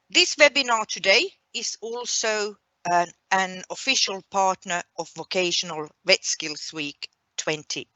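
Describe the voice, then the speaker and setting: A woman presenting a webinar as its host and coordinator, speaking in a deliberate, explanatory way. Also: medium pitch, sharp tone, no room sound. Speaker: project coordinator hosting a webinar.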